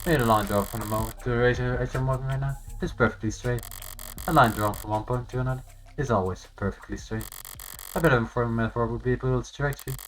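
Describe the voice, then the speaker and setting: An actor voicing a cool, guarded professional lawyer in a video game, dryly and tersely, in measured lines. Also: mid-range voice, fairly even intonation, close to an everyday voice, low-quality recording. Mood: brooding, cold. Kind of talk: voiced visual novel, inner monologue and dialogue. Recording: game audio with music behind. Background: music.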